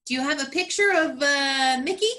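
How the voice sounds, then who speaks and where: A woman's voice: sits high, moderate pace, bright, forceful tone. A woman playing a nervous, apologetic married man pleading in a comedic radio play.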